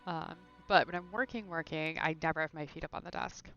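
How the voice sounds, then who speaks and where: A woman's voice: low-pitched, brisk, sharp tone, lo-fi sound, soft background music. A woman chatting casually and thinking aloud while streaming a video game from her room at home. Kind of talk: casual live gameplay chat.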